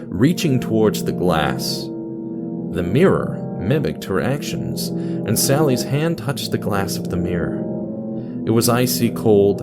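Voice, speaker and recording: A man narrating a scripted story as a dramatic storyteller, with deliberate pauses and direct address to the listener. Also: fairly low pitch.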